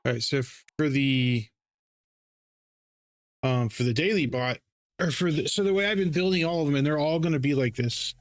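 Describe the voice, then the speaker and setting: A man hosting a casual screen-share tutorial, explaining settings in a halting way with frequent self-corrections. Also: fairly high pitch, no echo. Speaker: trading bot developer and livestream host. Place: live voice call on a screen-share stream. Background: music.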